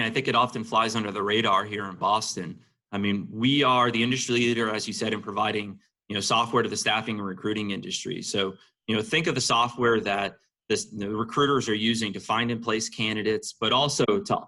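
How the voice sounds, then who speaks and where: A man with a fairly low, forceful voice gives conversational interview answers like a business executive. His tone is bright, and he fills his sentences with "you know" as he explains things. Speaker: senior marketing executive being interviewed.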